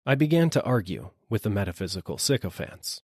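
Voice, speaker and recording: A man's voice: on the low side, big pitch swings, fairly quick, husky, no echo, clean studio sound. A man reading a literary story aloud as a scripted audiobook narration. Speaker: audiobook reader.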